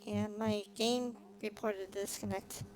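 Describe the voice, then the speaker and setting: A woman's voice: mid-range voice, fairly even intonation, takes it slowly. A woman livestreaming a game, chatting casually and a little hesitantly to her viewers. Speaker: livestreamer, vtuber. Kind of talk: casual livestream chat while gaming. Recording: clearly noisy, humming background.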